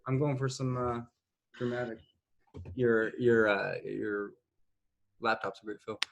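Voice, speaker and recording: A man's voice: mid-range voice, steady tone, a little slow, gravelly, a crowd in the background. A man organizing a film shoot, chatting on a video call and rambling reassuringly with many restarts. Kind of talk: casual chat on a video call.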